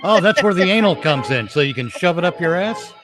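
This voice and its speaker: A man's voice: high-pitched, animated, brisk, over music. A man co-hosting in casual banter, joking in a wry, profane way. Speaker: co-host.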